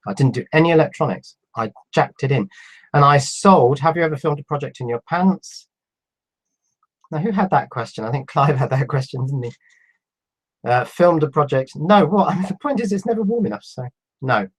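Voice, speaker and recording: A man in his fifties with a cold, reading out viewer questions on a live stream and answering them in a relaxed, halting way. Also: medium pitch. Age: 50s. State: has a cold. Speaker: electronics YouTuber answering live chat. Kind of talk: casual live stream chat.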